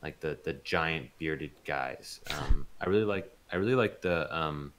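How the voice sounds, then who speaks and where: A man with a notably deep voice speaks as a guest in an unscripted interview. He talks casually, feeling his way through answers with hesitations and rambling asides, like someone thinking aloud. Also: unhurried, a bit bright. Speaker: voice actor being interviewed.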